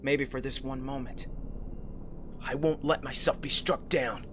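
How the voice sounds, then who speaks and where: A man playing a solemn, protective young demigod hero in an anime visual novel, in an earnest, deliberate way. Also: medium pitch, steady tone, fairly bright tone, lightly acted. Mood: brooding. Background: background music.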